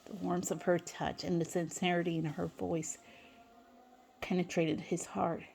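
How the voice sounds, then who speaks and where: A woman's voice: on the low side, little pitch movement, unhurried, vocal fry, darkish tone, soft background music. A woman narrating fan fiction dramatically, stumbling over some words as she reads.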